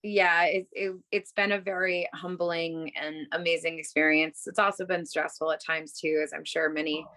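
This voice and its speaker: A woman's voice: low-pitched, fairly even intonation, moderate pace, few pauses, bright, forceful tone. A woman in her thirties from Massachusetts, a bookstore owner answering interview questions on a video call in a casual, upbeat way with many fillers. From Massachusetts, USA. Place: home room.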